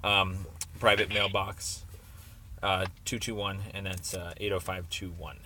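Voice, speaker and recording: A man's voice: low voice, little pitch movement, measured pace, pushed and bright, a little hiss or hum. A man talking informally on a phone call as a caller, explaining things in a hesitant, rambling way. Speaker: caller making a complaint. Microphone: recorded phone call.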